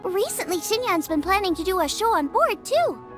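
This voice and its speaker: A woman's voice: very high-pitched, sing-song, moderate pace. A woman voicing a cheerful, confident and eager teenage girl chef in a video game, chatty and girlish. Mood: friendly, hopeful. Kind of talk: animated video game character acting. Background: music.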